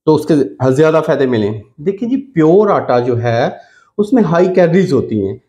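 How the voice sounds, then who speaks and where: Male doctor, Pakistani accent, fairly high voice. Informal health explainer, instructive, restates key points. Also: big pitch swings, moderate pace, dark tone, muffled recording. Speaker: doctor giving diet advice.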